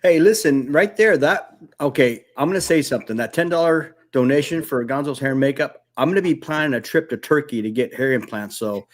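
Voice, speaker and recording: A man's voice: mid-range voice, brisk, few pauses. Joking man, Spanish his first language. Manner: joking, laid-back, says "dude" often. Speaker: guest, former police officer. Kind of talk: casual live-stream chat.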